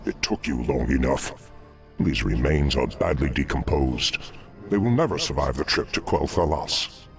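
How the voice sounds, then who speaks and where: An actor playing a sinister, commanding demon dreadlord in a fantasy game, slow and formal in his menace. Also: animated, full character voice. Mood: stern, impatient. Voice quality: very deep, gravelly. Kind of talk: fantasy video game acting. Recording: music under the voice.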